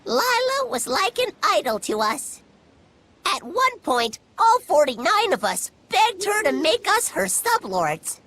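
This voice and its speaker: A woman voicing a tiny, cheerful, excitable male critter spirit with a squeaky cartoon voice and folksy talk, in an animated game. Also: takes it slowly, no echo, exaggerated voice. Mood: fond, playful. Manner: folksy, colloquial, exclamatory. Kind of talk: animated game cutscene acting.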